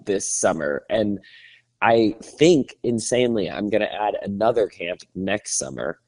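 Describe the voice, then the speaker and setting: A man with a fairly low voice chats casually as a podcast co-host. He talks in an animated, rambling way and breaks into laughter often. The sound is close and dry. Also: measured pace, dry recording, rough recording. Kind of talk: casual chat.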